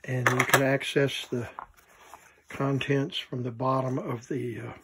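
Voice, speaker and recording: A man who collects vintage gear gives a casual, unscripted hands-on demonstration, thinking aloud in halting short fragments. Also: mid-range voice, little pitch movement, gravelly, very noisy. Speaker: vintage electronics collector presenting his item.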